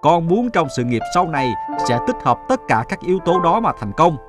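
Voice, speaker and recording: A man's voice: a little high, very animated, fairly quick, music in the background. A man voicing an earnest, self-doubting young adult son in a moral-story cartoon. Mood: eager. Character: earnest thirty-year-old son, restless and self-doubting.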